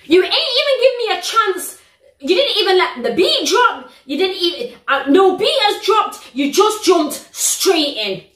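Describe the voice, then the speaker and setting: A young woman with a British accent, hosting a reaction video in a living room, telling stories in an animated, slangy, exclamatory way. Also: extremely high pitch, measured pace. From United Kingdom. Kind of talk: casual reaction vlog. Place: living room, sitting on a sofa. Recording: roomy living-room echo.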